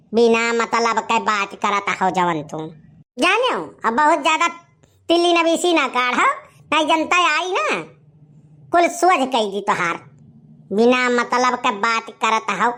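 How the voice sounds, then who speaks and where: A woman's voice: sits high, a bit bright. A woman playing a sassy, impatient young village woman in a cartoon comedy, scolding brazenly with an Awadhi accent. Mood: irritated, threatening. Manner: scolding, brazen, repetitive catchphrases. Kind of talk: animated comedy dialogue.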